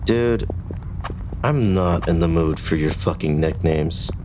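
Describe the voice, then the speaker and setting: A man's voice: low-pitched, takes it slowly, background noise. An actor playing a brash, irritable mining ship crewman, blunt and sarcastic, in a sci-fi audio drama. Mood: irritated, sarcastic. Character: brash, irritable spaceship miner with a defiant temper.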